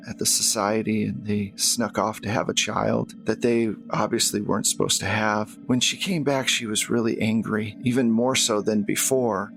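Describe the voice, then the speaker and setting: A man's voice: fairly low pitch, fairly bright tone. Man voicing a faltering, confused, regretful nun. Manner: halting, faltering with repeats. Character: confused, regretful nun haunted by shadowy memories.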